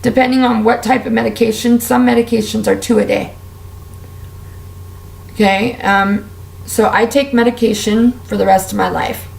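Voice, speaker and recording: A woman with a raspy, strained voice, talking candidly and at length while doing her makeup for a vlog. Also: a little high, fairly even intonation, normal speed, a little mellow, reverberant, poor audio. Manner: candid, heartfelt, rambling with fillers. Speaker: beauty vlogger. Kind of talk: casual personal rant while doing makeup.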